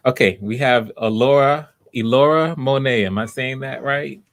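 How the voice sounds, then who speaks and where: A man's voice: mid-range voice, normal speed. A man hosting live voice auditions, talking casually and encouragingly to the people taking part. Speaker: host running voice auditions. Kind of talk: casual livestream talk.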